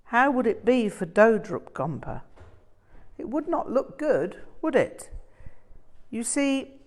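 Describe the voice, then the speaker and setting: A woman's voice: a little high, big pitch swings, slow, pitched above the performer's own voice. A woman voicing a self-serving male monk delegate in a storybook reading, hesitant and delicately insinuating. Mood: wheedling, awkward.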